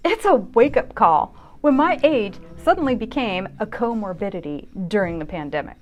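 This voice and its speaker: A woman in her fifties narrating her own video in a reflective, wry, self-deprecating way with expressive emphasis. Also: mid-range voice, moderate pace. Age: 50s. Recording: music under the voice. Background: music.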